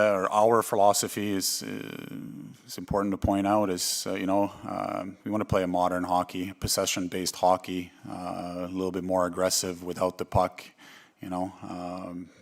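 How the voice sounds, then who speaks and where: A Swedish-born man in his forties, a newly hired head coach, answering press conference questions in a measured way and often repeating words as he thinks. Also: deep voice. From Sweden.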